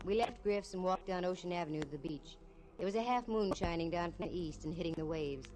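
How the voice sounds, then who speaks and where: An actress playing a wisecracking female private detective who narrates her own case in a 1950s radio drama, in a brisk, breezy, wry manner. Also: on the low side, background noise, natural voice. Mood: narrating.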